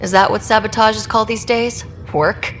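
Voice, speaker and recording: An actress playing a young space explorer heroine in a video game, direct and measured. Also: female voice, medium pitch, steady tone, a little hiss or hum, close to an everyday voice. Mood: confronting. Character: young female pathfinder hero, firm and composed.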